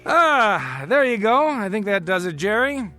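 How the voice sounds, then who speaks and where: An actor in a radio drama playing a calm, careful married father who is secretly plotting, with a guarded manner. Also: high-pitched, measured pace, soft background music, heavily acted. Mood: breezy, casual.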